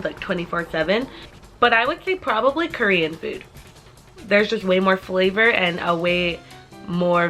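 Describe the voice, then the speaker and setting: A woman's voice: medium pitch, bright, forceful tone. A woman in her twenties answering viewer questions to her camera in a casual, rambling way, full of "like" fillers. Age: 20s. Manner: chatty, rambling with many "like" fillers. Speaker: vlogger.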